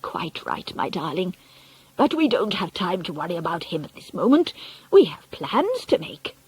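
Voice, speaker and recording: A woman voicing a feisty, doting old grandmother in an audiobook story, speaking deliberately and with emphasis. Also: fairly high pitch, sing-song, husky, poor audio. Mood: conspiratorial.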